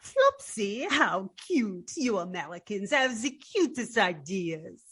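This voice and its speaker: A woman's voice: mid-range voice, sing-song, slow, a bit bright, husky, no echo, heavily acted. Woman acting lilting, flirtatious foreign showgirl. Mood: coy, playful. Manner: coquettish, formal phrasing. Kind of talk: recreated 1940s radio drama acting.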